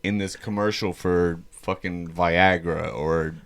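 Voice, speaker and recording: A man hosts a casual podcast, asking questions in a halting, laid-back way. His voice sits low and his speech comes slowly, with pauses between phrases. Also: steady tone. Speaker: podcast host. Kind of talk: casual chat.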